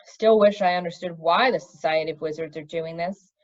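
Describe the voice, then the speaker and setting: A woman's voice: on the low side, fairly quick, no echo, muffled recording, voice pushed down, natural voice. A woman voicing a thoughtful young storybook king with a plain, earnest manner. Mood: musing.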